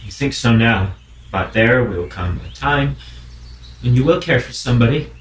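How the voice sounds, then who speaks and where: A man playing a lovesick, impulsive young gentleman in an audio play, impassioned and dramatic. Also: medium pitch, lively intonation, moderate pace, close to an everyday voice. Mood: pleading, insistent. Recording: roomy echo, faint background hiss.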